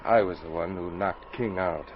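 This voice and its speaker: An actor playing a shaken, remorseful outlaw with a halting, earnest delivery in a 1940s radio adventure. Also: male voice, low voice, natural voice. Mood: confessional, regretful. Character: shaken, remorseful outlaw, betrayed by his partner. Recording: dull, hissy 1940s radio recording.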